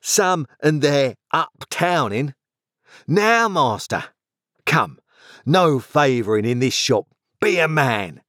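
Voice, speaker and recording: A man voicing a sullen, insolent journeyman in his twenties, growling gruffly in rustic working-class speech for a storybook. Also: fairly high pitch, sing-song, slow, fairly bright tone, dry recording, clean studio sound, pitched above the performer's own voice, exaggerated voice. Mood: sullen, demanding. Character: surly sullen journeyman blacksmith, about twenty-five, resentful and insolent.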